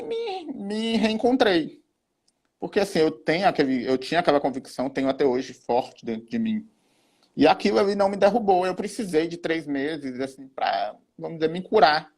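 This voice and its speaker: A man's voice: a little high, a little mellow. Earnest young Brazilian man. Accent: Brazilian Portuguese. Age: 20s. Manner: earnest, determined. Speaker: guest, newly approved judge. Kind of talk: conversational interview.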